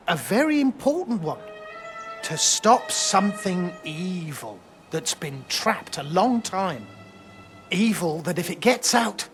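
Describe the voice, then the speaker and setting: An actor playing a chatty, self-mocking fortune-teller who has given up, speaking. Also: male voice, high voice. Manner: chatty, self-deprecating, slow. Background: game score music.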